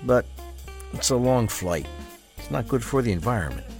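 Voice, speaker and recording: A man's voice: medium pitch, very animated, normal speed. A man playing an easygoing, slightly smug semi-retired businessman in an audio drama. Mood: contrary. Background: music.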